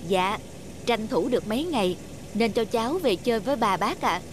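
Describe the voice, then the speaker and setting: Patient, mild cartoon husband. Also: male voice, sits high, expressive, moderate pace, noisy background. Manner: deferential, soothing. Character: mild, polite, patient young husband and father. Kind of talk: cartoon voice acting.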